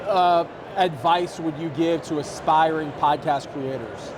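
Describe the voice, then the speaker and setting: A man's voice: on the high side, a little slow. A man working as an interviewer, asking questions in a polite, straightforward way. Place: busy podcast convention. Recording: noisy urban background, on location.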